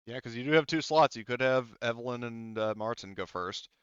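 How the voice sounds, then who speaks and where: A man running a tabletop game as game master, relaxed and hesitant, with many fillers. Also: mid-range voice, a little fast, clean tone, no echo, dull audio.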